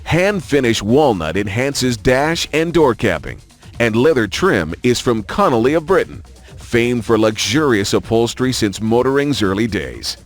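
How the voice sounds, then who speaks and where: A man's voice: mid-range voice, very animated, unhurried. A man reading scripted promotional narration for a car showcase in a racing video game, with a polished announcer delivery. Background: music.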